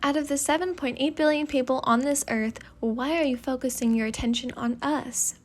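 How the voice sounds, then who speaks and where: Female reader as teenage girl, high voice, sarcastic, sceptical, dry muttering, storybook dialogue. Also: steady tone, normal speed. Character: sarcastic, sceptical seventeen-year-old girl.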